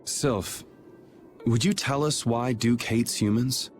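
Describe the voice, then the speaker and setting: A man's voice: medium pitch, slow pace, faint music behind, natural voice. A man playing a cool, casual young swordsman leader in a video game. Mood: cool, confident.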